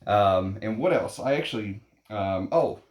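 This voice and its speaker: A man in his late thirties hosting a perfume vlog, talking casually in a rambling, opinionated way, blunt and quick to swear. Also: on the low side, lively intonation, a little mellow. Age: 30s. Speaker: perfume channel host. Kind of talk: casual unscripted vlog talk. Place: at home in Texas.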